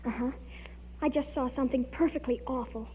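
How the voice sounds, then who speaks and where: A woman's voice: high-pitched, steady tone, a little fast, natural voice. An actress playing an earnest, anxious, movie-struck teenage girl in an old radio comedy, speaking with breathless melodrama. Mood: grave, secretive. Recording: muffled old radio recording, hum.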